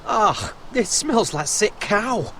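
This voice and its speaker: Hurt young wildling for a game, slow talking, highish voice, sardonic and complaining. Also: male voice, very animated, sharp tone, a little hiss or hum, full character voice. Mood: pained, complaining. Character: wounded young wildling man, defensive, complaining. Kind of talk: dramatic video game acting.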